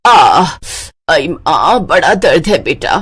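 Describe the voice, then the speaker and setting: A woman acts out a middle-aged cartoon stepmother with a lowered voice. She is scheming and self-pitying, grumbling and wheedling her way through family life. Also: sing-song, slow, fairly bright tone, a little hiss or hum, full character voice. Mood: whining, wheedling. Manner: wheedling, complaining. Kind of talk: Hindi cartoon moral story acting.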